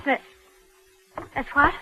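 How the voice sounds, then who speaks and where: An actress playing a crook's anxious accomplice sweetheart, hesitant and emotive in 1940s radio drama style. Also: female voice, slow pace, natural voice. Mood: nervous. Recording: muffled, noisy old radio broadcast.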